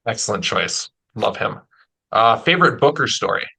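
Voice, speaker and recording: A man's voice: on the low side, big pitch swings, moderate pace, gravelly, sharp tone, slightly rough. A man chatting casually as he hosts an interview. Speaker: interviewer, audio drama producer.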